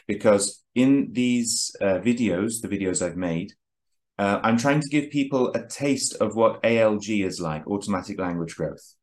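A man hosting a chat, explaining ideas at length in a measured lecturing way before interviewing a guest. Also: on the low side. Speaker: host.

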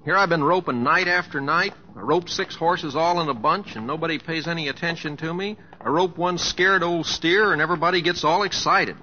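An actor playing a humble, boyish cowboy husband in an old radio drama, with an American accent and a plain-spoken, folksy manner. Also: male voice, fairly high pitch, fairly quick, hardly any pauses, sharp tone, slight background noise. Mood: puzzled. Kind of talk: 1950s radio drama acting. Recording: dull old radio broadcast.

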